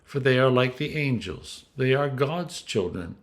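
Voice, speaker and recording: A man reading scripture as a clergyman, with a measured, dramatic storytelling delivery. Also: medium pitch, expressive.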